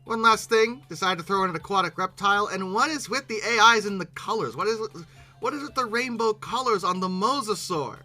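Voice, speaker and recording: A YouTube host giving casual, humorous reaction commentary in a halting, bemused way, often repeating words. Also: mid-range voice, pushed and bright, faint music behind.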